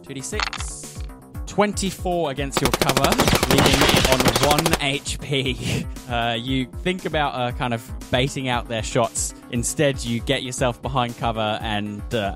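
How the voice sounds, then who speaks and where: A man narrates a tabletop game as its game master, with music playing behind him. His middle-pitched voice moves between vivid descriptions of action and casual rulings on the dice.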